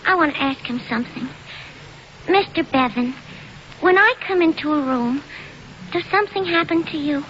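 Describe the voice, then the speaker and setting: A very high, girlish voice plays a frank young waitress in love. She speaks plainly and a bit warily, in old radio comedy style. The broadcast recording is muffled with faint noise. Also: normal speed, pauses often, fairly bright tone. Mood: wary, questioning. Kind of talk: 1940s radio comedy acting.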